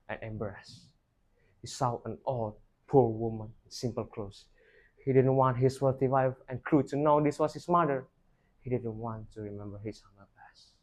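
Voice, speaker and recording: A man's voice: mid-range voice, low-quality recording. Young man telling a folktale. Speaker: student storyteller. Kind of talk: dramatic rehearsed folktale storytelling.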